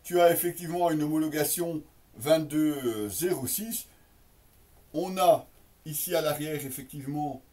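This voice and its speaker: A man with a somewhat high voice gives a casual product demonstration. He explains things off the cuff, with some hesitation, talking straight to his viewers. He sounds slightly distant from the microphone. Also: darkish tone. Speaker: product reviewer and presenter.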